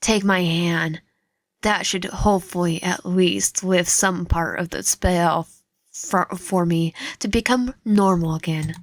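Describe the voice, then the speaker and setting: A woman's voice: fairly low pitch, takes it slowly, dry recording. A woman voicing a courteous, earnest young prince in a visual novel, polite in manner. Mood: relieved. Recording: music behind the voice.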